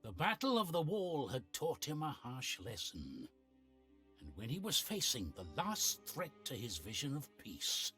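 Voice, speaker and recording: A wry storyteller narrating a fantasy game. His bright voice moves theatrically through a lively range. Music plays behind him. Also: mid-range voice, a little slow, heavily acted. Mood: grave, dramatic.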